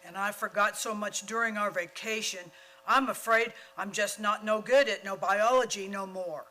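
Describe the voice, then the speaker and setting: A man's voice: sits high, pushed and bright, rough recording. A man playing a dim, worried teenage athlete in an old-time style radio comedy, plaintive and ungrammatical. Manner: slow-witted, ungrammatical, plaintive.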